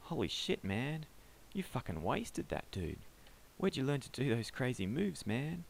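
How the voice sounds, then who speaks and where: A man voicing an excitable young male bystander who talks in eager slang, pitched well up from his own voice. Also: unhurried, gravelly, slight background noise. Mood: excited.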